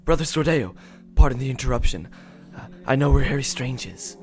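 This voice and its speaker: Brief: actor as a hesitant male acolyte, mid-pitched, nervous. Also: animated, fairly quick, soft background music, natural voice. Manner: hesitant, stammering. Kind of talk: audio drama acting.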